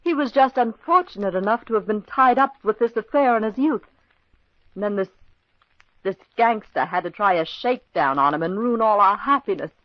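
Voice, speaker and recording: A woman's voice: mid-range voice, animated, normal speed, dry recording, lightly acted. An actress playing a grieving young woman in a 1940s radio drama, earnest and pleading. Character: grieving young woman, a murdered man's fiancée. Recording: muffled old radio broadcast.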